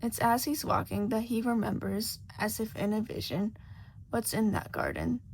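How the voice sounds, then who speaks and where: A woman's voice: fairly high pitch, lively intonation, moderate pace, gravelly, a little hiss or hum. A woman reading scripted fiction as a story narrator. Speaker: podfic narrator.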